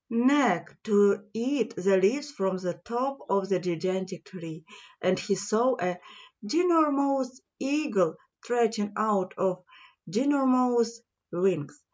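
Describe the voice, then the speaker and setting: Female storyteller for children's fairy tales, slow delivery, emphatic key words, slightly muffled lo-fi sound. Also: mid-range voice. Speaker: children's audiobook reader. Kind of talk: fairy-tale narration for children.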